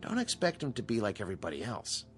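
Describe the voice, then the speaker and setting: An actor playing a calm, authoritative psychiatrist in a radio drama, speaking in a measured, explanatory way. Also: male voice, on the low side, faint music behind. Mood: measured, explaining. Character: calm, authoritative male psychiatrist at a mental hospital.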